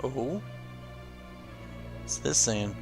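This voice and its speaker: A let's play host with a mid-pitched voice talks casually over game music. The delivery is offhand and musing, moving between reading and informal commentary. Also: animated, very slow, vocal fry. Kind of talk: casual commentary and read-aloud of game cutscenes.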